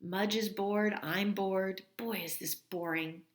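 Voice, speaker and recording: A woman's voice: mid-range voice, fairly even intonation, slow, roomy sound, lightly acted. A woman voicing a grumbly, exclamatory young boy in a children's storybook. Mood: bored, grumbling.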